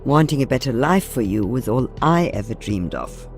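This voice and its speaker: An actress playing a weary, protective elderly mother and veteran sniper in a video game, with a dry, measured manner. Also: rumbling low, animated, a little mellow, faint music behind. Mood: tender, earnest. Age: older.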